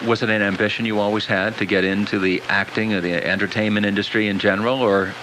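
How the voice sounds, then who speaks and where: A man's voice: on the low side, level tone, quick pace. An American man hosting a live call-in talk show on the radio. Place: radio studio. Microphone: studio broadcast microphone. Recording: noisy old cassette of radio broadcast.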